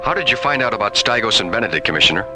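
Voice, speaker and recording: Male space captain for radio sci-fi, actor. Brisk, earnest, expressive middle register over clear music. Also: lowish voice, natural voice. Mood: urgent. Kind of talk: old-time radio science fiction acting.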